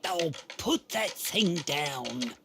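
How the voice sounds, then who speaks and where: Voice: medium pitch, expressive, extremely slow, background noise. An actor playing a blunt, jaded acquaintance, colloquial and chiding, in a game drama. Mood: chiding, resigned.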